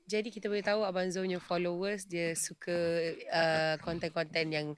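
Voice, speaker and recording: A woman from Malaysia co-hosting a podcast, chatting casually and chiming in with quick agreements. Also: on the low side. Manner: quick, lively, frequent short agreements. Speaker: podcast co-host.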